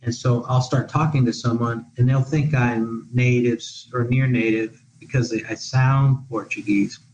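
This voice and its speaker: A man's voice: medium pitch, fairly even intonation, moderate pace, a little mellow, slight background noise, rough recording. A man who is a guest expert on an education podcast, talking in long, discursive answers with many restarts. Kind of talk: podcast interview. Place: living in Portugal.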